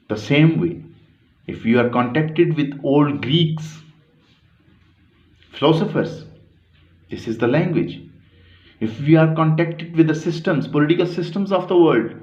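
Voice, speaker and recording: Guy lecturing real slow, lots of pauses and restarts, echoey room, kinda muffled lo-fi audio. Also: medium pitch. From Pakistan. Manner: slow, deliberate, with pauses and self-corrections. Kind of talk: academic lecture. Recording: echoey room, dull lo-fi sound.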